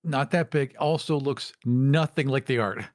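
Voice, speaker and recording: A man speaks at a middling pitch in a loose, conversational podcast style. He questions things and jokes dryly. The sound is close and dry, with no room around it. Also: a little slow, dry recording. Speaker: podcast co-host. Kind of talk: casual discussion.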